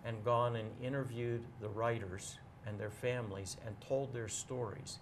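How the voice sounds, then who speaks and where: A man in a casual interview, talking as a bookseller guest in a measured, explanatory way and pausing to choose his words. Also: medium pitch, steady tone, creaky, background noise.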